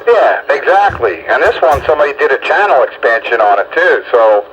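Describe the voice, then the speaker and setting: A man's voice: mid-range voice, very animated, brisk. Casting: colloquial man in his sixties, casual radio chatter, dull lo-fi signal, audible hiss. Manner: folksy, colloquial. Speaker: radio hobbyist. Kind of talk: casual on-air radio chat. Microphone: stock radio microphone. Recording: dull two-way radio with static.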